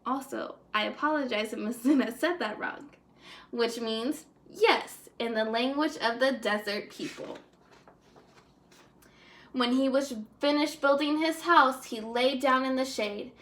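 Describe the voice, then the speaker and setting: A woman teacher gives an expressive read-aloud for small children. Her voice is high, and she goes slowly and deliberately through the narration.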